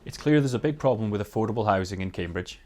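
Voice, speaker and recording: Fast-talking low-voiced man. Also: animated, a little mellow. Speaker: parliamentary candidate, NHS worker. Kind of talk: hustings answer, political speech.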